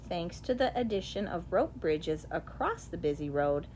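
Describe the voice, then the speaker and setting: A woman reading a nonfiction children's picture book aloud in an expressive, deliberate storytelling way. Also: mid-range voice. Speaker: audiobook reader.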